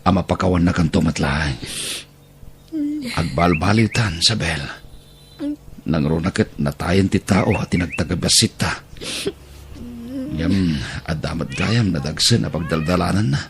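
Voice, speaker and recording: A man's voice: low voice, a little slow, pauses often. A man with an Ilocano accent playing a volatile, remorseful village husband in an audio drama, recorded with some noise. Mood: remorseful, firm.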